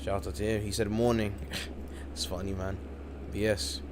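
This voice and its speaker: A man's voice: on the low side, level tone, unhurried, noisy background. A man commentating a live-streamed game event late at night, tired but hyped, repeating himself a lot. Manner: hyped and repetitive, keeps saying "you guys". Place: home, in the UK.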